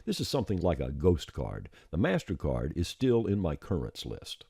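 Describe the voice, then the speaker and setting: A man with an extremely deep voice narrates a step-by-step software tutorial. His tone is dark and somewhat husky, while his pitch moves around in a lively way. The delivery is plain-spoken and informal. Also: moderate pace, no room sound, studio quality.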